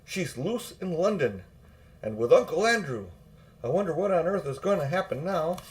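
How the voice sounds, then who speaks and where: A man's voice: fairly high pitch, sing-song, moderate pace, pitched above the performer's own voice. A man voicing a plucky schoolboy in a storybook, anxious and wondering. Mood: alarmed. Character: worried, plucky schoolboy hero.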